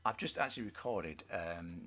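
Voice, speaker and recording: A middle-aged man with a British accent and a deep voice co-hosts a casual film news chat. He is blunt and opinionated, with a dry line in banter. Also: lively intonation, moderate pace, over a noisy crowd. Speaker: co-host of a film review show.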